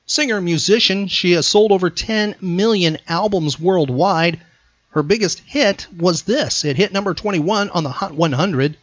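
A man's voice: high voice, unhurried, no echo, highs cut off. A man hosting trivia as quizmaster in an emphatic, announcer-like radio style. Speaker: podcast host and quizmaster.

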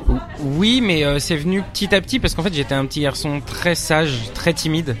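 A man's voice: fairly high pitch, brisk, music in the background. A young man from France, an actor and stage director, answering interview questions with many fillers and restarts.

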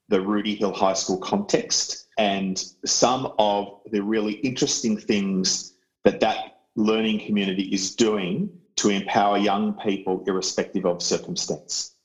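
A man co-hosts an education podcast, his voice sitting low. He asks measured, friendly questions. He slips in fillers as he goes. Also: rough recording. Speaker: podcast co-host. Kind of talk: podcast interview.

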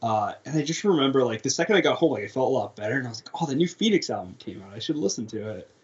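A man's voice: medium pitch, lively intonation, racing. Laid-back young man, slightly muffled. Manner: laid-back, swears casually, trails off. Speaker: podcast co-host. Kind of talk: casual music chat.

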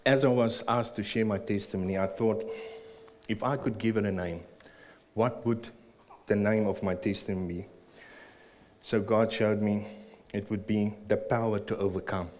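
A man with a South African accent sharing his personal testimony and prayer at a church service, earnest and a little nervous, with halting fillers. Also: lowish voice, fairly even intonation, darkish tone. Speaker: church member giving testimony.

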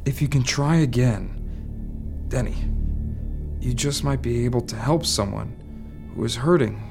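Direct, mid-pitched police detective played by a man. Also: unhurried, music in the background, close to an everyday voice. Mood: coaxing. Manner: questioning, direct. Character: probing, plain-spoken male police detective. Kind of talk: audio drama dialogue.

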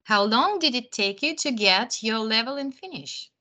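A woman host with a bright, crisp tone and a lively, swinging delivery. She speaks a little higher than most, reading prepared introductions and interview questions clearly and at a measured pace. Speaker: podcast host.

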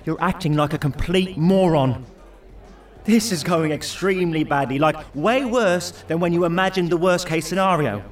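A man's voice: fairly high pitch, moderate pace, far from the mic, close to an everyday voice. An actor playing the mouthy, berating, panicky inner voice of an awkward British man in an audio drama. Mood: scolding. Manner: sweary, berating.

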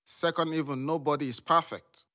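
A man's voice: on the high side, fairly even intonation, normal speed, sharp tone. A man acting a middle-aged father, firm but understanding, in a modern audio drama. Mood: concerned.

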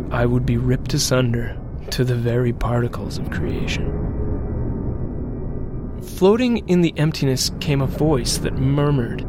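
A man's voice: medium pitch, creaky, background noise. A man playing a haunted pilot, narrating a horror audio drama in a solemn, dramatic and ornate manner. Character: haunted male robot pilot recounting cosmic horror. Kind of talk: scripted first-person horror narration.

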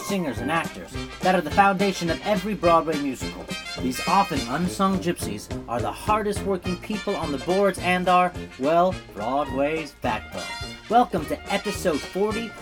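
A man hosting an interview podcast, moving between a prepared introduction and casual questions. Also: on the high side.

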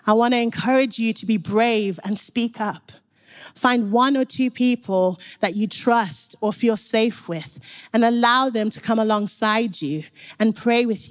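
A young woman from Zimbabwe giving an earnest, encouraging sermon, speaking directly to the congregation. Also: fairly high pitch. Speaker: preacher.

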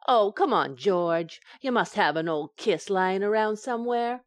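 A woman playing a teasing, quick-witted housewife in an old-time radio sitcom, with wry comic timing. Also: medium pitch, animated, no room sound, natural voice. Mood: bright, teasing. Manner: wry, sharp comic retorts.

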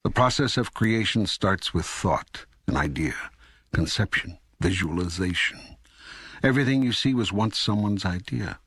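An older man playing God as a calm, patient, authoritative teacher in an audiobook, speaking in a measured, deliberate way with a gravelly, husky voice. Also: lowish voice, no echo, studio quality. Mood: calm, instructive. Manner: measured, deliberate, emphatic phrasing with pauses. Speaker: audiobook narrator. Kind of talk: scripted audiobook dialogue reading.

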